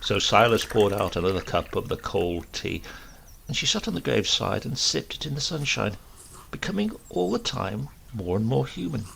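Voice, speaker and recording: A man's voice: fairly low pitch, big pitch swings, normal speed, vocal fry, a bit bright, a little hiss or hum, rough recording. A man narrating a scripted comic tale as an audiobook reader.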